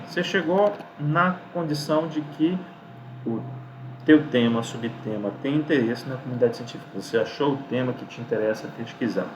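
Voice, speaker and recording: A man's voice: mid-range voice, slight background noise, low-quality recording. A man giving an informal video lesson, talkative and didactic, asking himself rhetorical questions. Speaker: lecturer giving academic writing advice.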